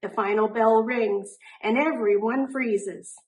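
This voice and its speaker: A woman reading a children's picture book aloud in an animated way, drawing out words for emphasis. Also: a little high, poor audio. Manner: animated, deliberate, drawn-out emphasis on rhyming words. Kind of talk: expressive children's storybook narration.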